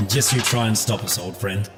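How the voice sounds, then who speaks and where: An actor playing a loyal, reassuring emperor and old friend in a fantasy audio drama, earnest and insistent. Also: male voice, on the low side, steady tone, measured pace, over music, close to an everyday voice. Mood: sincere, friendly.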